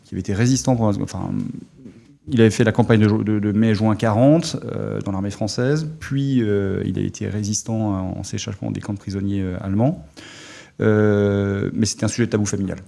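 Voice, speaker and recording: Gravelly low-voiced Alsatian man. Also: steady tone, warm tone. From Alsace, France. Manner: halting, many fillers and restarts. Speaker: guest, former army officer. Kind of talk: casual interview talk.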